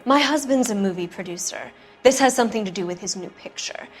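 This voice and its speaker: A flustered producer's wife, soft-spoken and guarded under questioning. Faint music plays behind her in this crime game cutscene. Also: mid-range voice, expressive, brisk, husky. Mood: defensive. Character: shaken, evasive wife of a movie producer. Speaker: witness questioned by police. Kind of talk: 1940s-style game cutscene acting.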